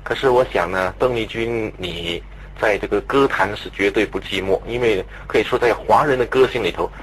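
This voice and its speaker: A man's voice: on the low side, moderate pace, a little hiss or hum, rough recording. A man conducting a conversational radio-style interview, putting long, polite questions.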